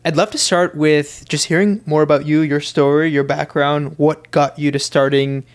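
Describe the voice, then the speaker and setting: A man hosting a podcast, asking interview questions in a relaxed, hesitant way with fillers. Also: on the high side, moderate pace, smooth. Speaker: podcast host.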